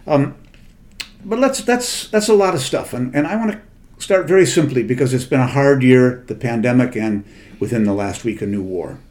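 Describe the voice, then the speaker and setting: A man's voice: mid-range voice, lively intonation, normal speed, darkish tone. An older man giving a conversational lecture as a literary translator, thoughtful and digressive, with frequent hesitations and self-corrections. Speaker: literary translator and guest speaker.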